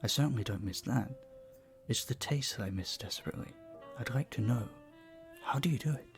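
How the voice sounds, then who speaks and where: A man playing an earnest, courteous, flirtatious vampire bartender in an intimate audio roleplay, speaking softly, politely and deliberately. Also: medium pitch, creaky, faint music behind, close to an everyday voice. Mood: troubled, yearning.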